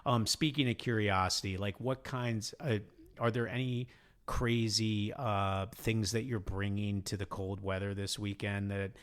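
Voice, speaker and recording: A middle-aged man with a slightly low-pitched voice talks casually as a podcast co-host. His delivery is conversational and rambling, like someone thinking aloud with a familiar partner. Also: fairly even intonation, unhurried. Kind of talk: casual chat.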